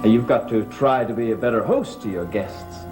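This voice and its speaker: A man's voice: lowish voice, normal speed, lightly acted. Actor as insistent man in gothic soap. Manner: pressing, persuasive. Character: insistent, inquisitive cousin in gothic manor. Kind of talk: 1960s-70s TV soap acting. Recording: old TV soundtrack with music underneath.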